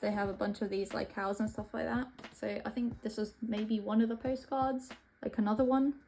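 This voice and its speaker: Young woman from Latvia, casual rambling, gravelly vocal fry, noisy background hiss. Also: medium pitch, steady tone, moderate pace, dark tone. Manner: rambling, hesitant, offhand. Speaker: art vlogger. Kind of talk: casual narration while flipping through sketchbooks.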